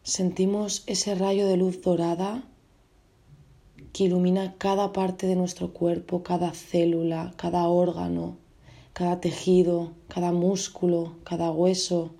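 A woman with a lowish, very mellow and relaxed voice leads a guided meditation. She gives her instructions gently, in short phrases, leaving space between them. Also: a little slow, gravelly.

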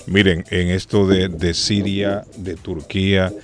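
A man's voice: deep voice, little pitch movement, slow pace, faint music behind. A man hosting a radio talk show, weary and exasperated, feeling unwell with a sore throat. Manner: weary, exasperated, scolding callers. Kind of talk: casual radio chat.

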